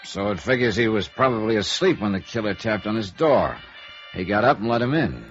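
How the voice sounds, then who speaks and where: A man plays a middle-aged American private investigator from 1950s radio noir, wry and probing. His low voice moves up and down in a lively, conversational way. The old recording is dull, with music behind it. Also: a little fast, lightly acted.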